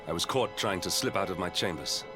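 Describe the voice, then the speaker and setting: Brief: male actor as a low-pitched, honorable knight for a fantasy game, earnest and formal, over prominent music. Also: close to an everyday voice. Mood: wistful. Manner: formal, earnest, measured speech. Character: grave, loyal knight, repentant and resolute. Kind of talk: dramatic video game voice acting. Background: orchestral game music.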